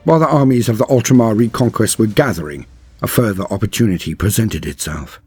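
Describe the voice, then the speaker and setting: A man with a low, husky voice delivers scripted lore as a dramatic narrator. His storytelling is solemn and grand, shaped like an epic chronicle. Faint music plays beneath his words. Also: fast, mellow. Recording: music under the voice. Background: background music.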